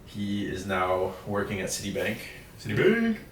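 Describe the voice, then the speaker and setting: A man's voice: lowish voice, huge pitch swings, moderate pace. A man in his twenties hosting a casual chat, informal and slangy, echoing phrases. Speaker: podcast host. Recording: echoey room, distant, faint noise.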